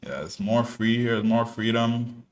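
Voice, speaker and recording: A man's voice: medium pitch, a little slow, poor audio. A young man born in New Jersey, commenting casually on a live trading stream in a laid-back, slangy way with many fillers. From born in New Jersey, parents from Ghana. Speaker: day trader streaming live.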